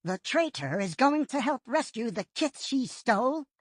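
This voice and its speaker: A senior tomcat warrior speaks with calm authority, as a woman reader puts him on. The voice is breathy and swings widely in pitch. Close, dry recording. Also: normal speed, no echo. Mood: puzzled. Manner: firm and authoritative.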